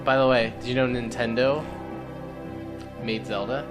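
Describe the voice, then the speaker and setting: A man's voice: medium pitch, fairly even intonation, normal speed. A man co-commentating on a video game in casual chat, with a wry, joking manner. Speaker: co-commentator of a let's play. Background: video game music.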